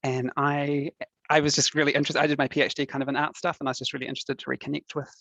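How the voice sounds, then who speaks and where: A man chatting casually on a video call, relaxed and hesitant with fillers as he introduces himself and presents. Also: on the high side, very fast, gravelly, no room sound. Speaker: workshop presenter. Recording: dull lo-fi call audio.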